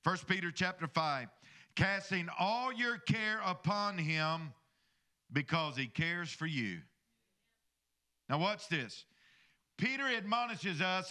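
A middle-aged pastor preaches from a church stage, his voice pitched high and ringing with a very bright, edgy tone. He holds a handheld microphone and keeps drawing amens from the congregation. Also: measured pace. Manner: preaching with call-and-response asides to the congregation. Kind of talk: sermon.

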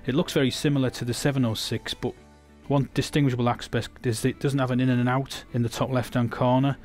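A man's voice: mid-range voice, level tone, quick pace, darkish tone, soft background music. An English man giving a technical product demonstration, explaining steadily with frequent restarts.

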